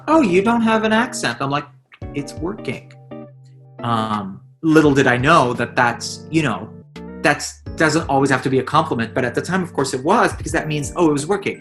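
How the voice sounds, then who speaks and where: A Lebanese-born actor talking candidly in an interview, his speech carrying a learned North American accent. His voice sits at an ordinary pitch, with light music behind it. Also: fairly bright tone. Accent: North American, put on over Lebanese. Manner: candid, conversational. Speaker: stage actor.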